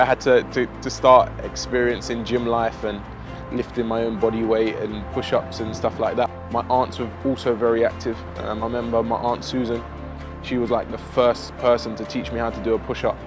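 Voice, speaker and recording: A young man with a South East London accent tells his life story in a casual, reflective monologue, with measured and hesitant phrasing. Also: mid-range voice. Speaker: vlogger telling own life story. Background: background music.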